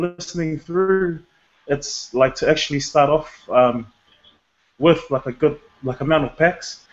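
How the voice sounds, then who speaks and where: Tongan guy raised in nz, lots of like and um, bit higher voice, muffled call sound. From Tonga, raised in New Zealand. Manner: hesitant, filler-heavy. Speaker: guest member. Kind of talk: casual testimonial.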